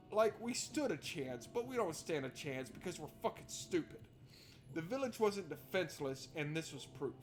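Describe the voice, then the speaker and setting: A man doing let's play commentary on a visual novel, reading narration aloud and heckling it in a sarcastic, exasperated, sweary way. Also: on the high side. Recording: music behind the voice. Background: game music.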